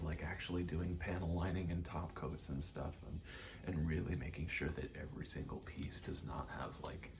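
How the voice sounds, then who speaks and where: A young adult man in a quiet, casual ASMR-style ramble, speaking candidly and hesitantly, often trailing off. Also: sits low, creaky, noisy background. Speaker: video maker.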